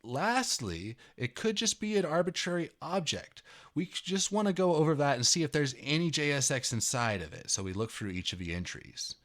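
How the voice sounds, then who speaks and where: A man's voice: fairly high pitch, sing-song, moderate pace, a bit bright. A man in his thirties reading a written programming tutorial aloud, with an emphatic, somewhat dramatic delivery. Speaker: tutorial host. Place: indoor room at a computer.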